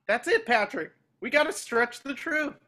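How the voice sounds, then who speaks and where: A man's voice: very high voice, expressive, normal speed, bright, forceful tone, exaggerated voice. A man voicing an earnest, eager, boyish cartoon sea sponge in a table read, coaxing as he talks.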